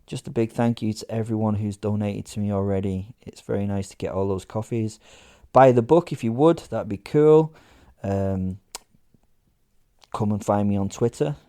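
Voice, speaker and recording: A man's voice: lowish voice, normal speed, mellow, clear, resonant tone, dry recording, clean studio sound. A man narrating a first-person horror comedy story as a podcast host, with wry, conversational asides.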